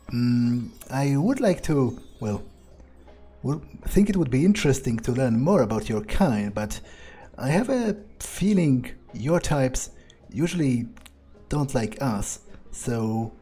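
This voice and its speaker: A man roleplaying a hesitant, curious vampire in a video game, musing aloud in a halting, wary way. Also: medium pitch, mellow, husky, full character voice. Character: hesitant, curious male vampire, wary yet polite loner. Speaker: let's player voicing own character. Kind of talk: in-character improvised game roleplay.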